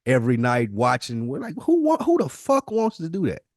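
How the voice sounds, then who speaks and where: A man's voice: mid-range voice, huge pitch swings, moderate pace, no echo, clean studio sound. A man chatting casually on a podcast in a blunt, sweary manner. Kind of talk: casual, animated podcast chat.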